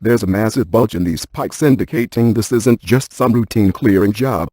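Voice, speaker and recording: Deadpan, mellow-voiced male ghost hunter scientist played by an actor. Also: on the low side, normal speed, dry recording, studio quality, lightly acted. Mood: cautious. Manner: deadpan, precise, technical. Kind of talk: scripted video game character dialogue.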